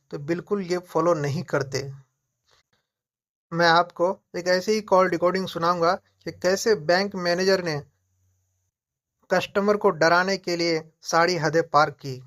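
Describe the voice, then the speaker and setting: A man's voice: high voice, fairly bright tone. A man speaking as a video host, introducing a recording to his audience. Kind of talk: spoken introduction to the camera or audience.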